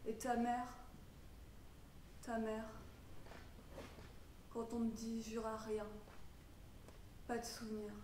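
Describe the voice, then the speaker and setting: A woman acting a theatrical monologue as a troubled young mother, wounded and defiant, swinging between muttered confiding and shouted outrage. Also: on the high side, monotone, slow pace, long pauses, recorded from a distance. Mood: wounded, brooding. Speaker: drama student performing a monologue. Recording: roomy echo with background noise.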